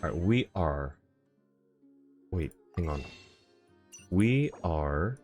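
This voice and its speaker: A man's voice: deep voice, lively intonation, drawn-out words, darkish tone. A man chatting casually on a game livestream, thinking aloud and rambling as he reads chat, with music behind him. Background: video game music.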